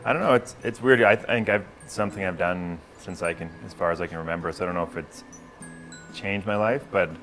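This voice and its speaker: A man's voice: sits low, a little fast, fairly bright tone, faint music behind. A man in his thirties, a filmmaker interviewed on a TV show, talking hesitantly, laughing and trailing off, on a lapel mic. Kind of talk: casual interview talk. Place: TV studio set.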